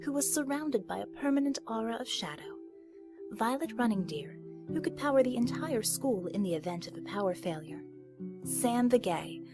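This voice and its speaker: A woman reading a story aloud as scripted audiobook narration, with a slightly husky voice. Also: fairly high pitch, darkish tone.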